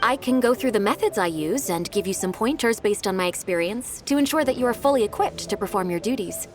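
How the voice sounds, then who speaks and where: A woman playing a diligent, eager young secretary in a video game, brisk and polite as she methodically explains things. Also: medium pitch, expressive, faint music behind, close to an everyday voice. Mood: brisk, earnest.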